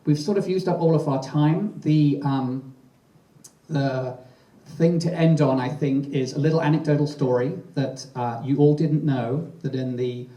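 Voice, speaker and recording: A man hosting a fireside chat, relaxed, summarizing the guest's points and joking with him. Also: on the high side, unhurried. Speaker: host and marketing executive. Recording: roomy, distant mic.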